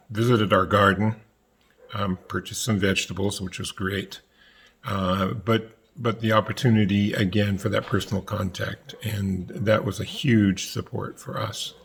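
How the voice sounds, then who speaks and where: A man offers an unscripted personal reflection in a low, husky voice. He speaks very slowly and haltingly, with frequent hesitant pauses between phrases. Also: a bit bright. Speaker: church member giving a personal reflection.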